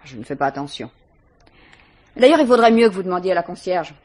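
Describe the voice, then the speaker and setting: An actress playing a guarded, disdainful young student, curt and defensive, in a 1950s French radio mystery. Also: female voice, fairly low pitch, animated, fast, a little mellow.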